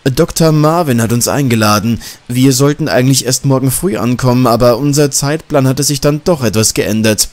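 A man's voice: mid-range voice, moderate pace, few pauses, voice pushed up, close to an everyday voice. Guy reading as an easygoing reporter in a gothic story, polite, chatty and reassuring. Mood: polite, upbeat. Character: polite, easygoing male journalist visiting a castle.

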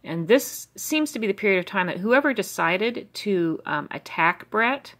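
A woman's voice: medium pitch, expressive, moderate pace, fairly bright tone. A middle-aged woman from the United States talks through her old journal pages in a rambling, musing way, full of fillers and asides. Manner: musing, stream of consciousness, many fillers. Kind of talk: rambling personal commentary.

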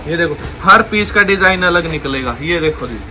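A young man with a slightly raised voice rattles off a pushy, repetitive sales patter. He is filmed on a handheld phone inside a large clothing warehouse. Music plays clearly behind him. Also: on the high side, animated, moderate pace, bright, forceful tone. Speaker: wholesale clothing seller. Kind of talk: sales pitch video.

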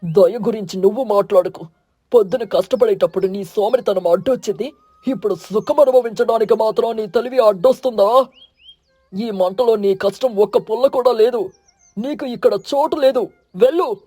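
A man playing a stern, righteous eagle who leads a village of birds in an animated moral story, speaking in a firm, commanding, scolding way. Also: high voice, moderate pace, dry recording, faint music behind. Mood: angry, stern.

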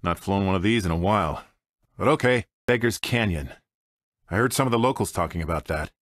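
An actor playing a cocky, grumbling smuggler pilot in a video game, with an American accent and short sardonic quips. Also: male voice, fairly low pitch, a bit bright, dry recording, studio quality. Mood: wry, grumbling. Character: cocky, wry male smuggler pilot, grumbling reluctant hero. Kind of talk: video game character voice lines.

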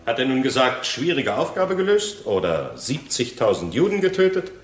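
Actor as insistent lawyer in echoey room. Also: male voice, mid-range voice, big pitch swings, moderate pace, lightly acted. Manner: exacting, interrogating. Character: sharp, insistent male courtroom lawyer. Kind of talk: German radio courtroom drama acting.

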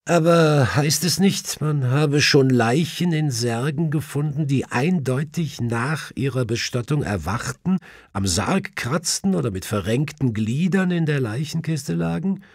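Guy doing a victorian gentleman detective for an audiobook, raised pitch, husky, grave n formal, really emphatic. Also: lively intonation, a little slow, no echo, clean studio sound. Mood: probing. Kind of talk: audiobook character voice.

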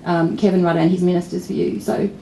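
A woman's voice: on the low side, flat delivery, fairly quick, creaky, warm tone. Australian woman speaker in a roomy pub. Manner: thoughtful, many restarts and fillers, wry asides. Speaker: political journalist and author, guest speaker. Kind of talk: talk at a pub event. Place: pub function room with audience. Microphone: mic on a stand. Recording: roomy venue, faint ambient noise.

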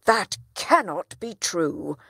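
A woman's voice: mid-range voice, huge pitch swings, a little slow, creaky, dry recording, clean studio sound, exaggerated voice. Woman doing an indignant town trader man. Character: indignant male town trader, dishonest father.

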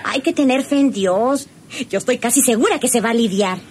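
A woman's voice: on the high side, fairly quick, a little mellow, lightly acted. A woman playing a sweet, devoted young ranch daughter with a rural Mexican accent in a radio serial. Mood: gentle, reassuring. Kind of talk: old Spanish-language radio serial acting. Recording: noisy old radio drama recording.

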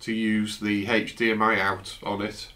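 A man in his fifties, croaky from a flu, chatting casually through an unboxing in his home office, recorded on a camera's built-in mic. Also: on the low side, unhurried. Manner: relaxed, chatty, trails off. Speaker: tech vlogger. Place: home office, indoors, seated at a desk.

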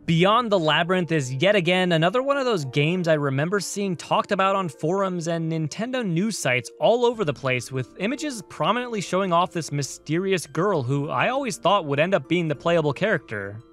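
A man's voice: high-pitched, lively intonation, moderate pace, few pauses, a bit bright. A man from the United States narrating a scripted video game retrospective in a conversational, enthusiastic storytelling way. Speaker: video game reviewer and host. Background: music behind the voice.

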